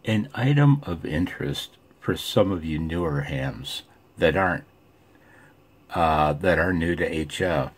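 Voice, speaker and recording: A man talking casually and off the cuff as a ham radio vlogger, folksy and rambling, with a gravelly voice. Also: a bass voice, expressive, a little slow.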